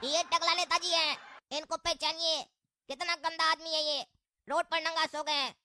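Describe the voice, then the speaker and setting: A high-pitched male cartoon rascal, cheeky and exasperated. He teases and scolds over loud noise and clicks. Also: flat delivery, moderate pace. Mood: mocking. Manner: teasing, exasperated. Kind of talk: Hindi comedy cartoon dialogue.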